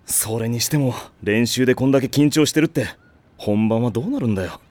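An actor playing a steady, wry teenage boy team captain who makes dry, amused remarks in an anime drama CD. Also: mid-range voice, a little fast, no room sound, natural voice.

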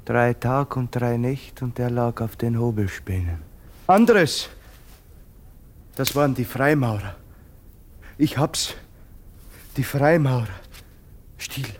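Gehetzter armer Soldat, langsam sprechend. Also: male voice, medium pitch, animated, a little hiss or hum. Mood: haunted, agitated. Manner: halting, plain folk speech, obsessive repetitions. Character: haunted, humble poor soldier, feverish and fearful. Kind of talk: radio drama acting.

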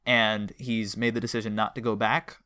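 A man's voice: lowish voice, flat delivery, moderate pace, pushed and bright, clean tone. A man hosting a casual interview, talking in a chatty, detailed and analytical way as one fan to another. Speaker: podcast host interviewing a guest.

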